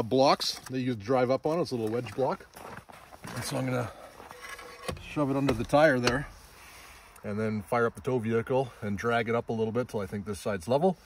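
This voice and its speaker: A middle-aged man explains gear step by step in a casual, conversational walkthrough, filming himself outdoors at arm's length. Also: medium pitch. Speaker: presenter demonstrating a camper trailer. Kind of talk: casual instructional walkthrough vlog. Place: snowy outdoor campsite, briefly inside small trailer. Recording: clearly noisy outdoor selfie recording, clicks.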